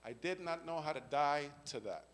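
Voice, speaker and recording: A man's voice: a little high, lively intonation, moderate pace, fairly bright tone, big-room sound. Earnest New man in his fifties. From the Bronx, New York. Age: 50s. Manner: earnest, keeps checking in with "right?" and "okay?". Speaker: churchgoer giving a testimony. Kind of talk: spoken faith testimony.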